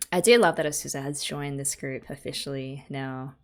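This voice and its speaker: A woman's voice: a bass voice, a little slow. A young Australian woman hosting an anime reaction video, chatting casually and giggling often as she reacts. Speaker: anime reaction channel host.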